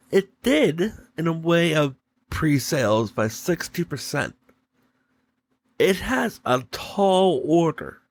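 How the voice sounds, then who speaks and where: A man hosting a solo podcast, giving opinion commentary in deliberate, halting short phrases. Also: fairly high pitch. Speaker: podcast host.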